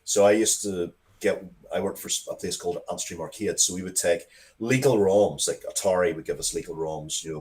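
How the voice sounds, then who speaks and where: A man with a low-pitched voice talks casually as a guest in an interview. He rambles along conversationally, interrupting himself and circling back to restart his thoughts. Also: darkish tone. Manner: rambling, self-interrupting, restarts sentences often. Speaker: interview guest, comics and game writer.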